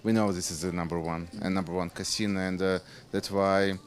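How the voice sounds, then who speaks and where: A man's voice: low voice, steady tone, a little fast, slight background noise. A man with a Russian accent, speaking English as a second language, answering interview questions as a casino's poker director and often stopping to search for words. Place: casino floor.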